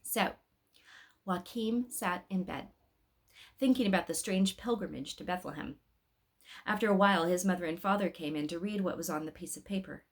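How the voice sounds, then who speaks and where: A woman's voice: lowish voice, normal speed. A woman storyteller reading a storybook aloud expressively, with emphatic phrasing.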